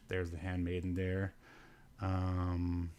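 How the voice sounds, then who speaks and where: A man's voice: sits low, monotone, extremely slow, clear, resonant tone. A man hosting a podcast with casual, rambling review chat, hesitant and given to dry, joking asides. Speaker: podcast host.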